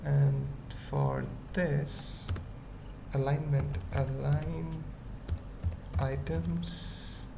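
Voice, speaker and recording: A tutorial presenter thinking aloud in halting, murmured fragments during an informal coding screencast. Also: medium pitch, little pitch movement, vocal fry, background noise. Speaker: web development tutorial presenter.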